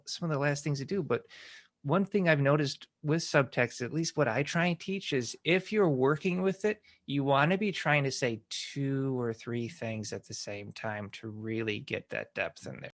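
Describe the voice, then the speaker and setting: A middle-aged man hosting a conversational interview about screenwriting, talking in a chatty, emphatic, animated way. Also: mid-range voice. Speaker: podcast host and screenwriting teacher.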